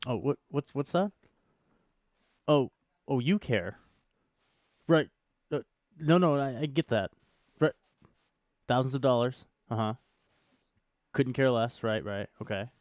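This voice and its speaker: A man's voice: mid-range voice, slow pace, pauses often, a little mellow, no room sound, lo-fi sound. A man co-hosting a casual unscripted talk show, rambling, repeating phrases for emphasis and slipping in dry jokes. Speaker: co-host of a crypto show. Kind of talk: casual unscripted chat about cryptocurrency.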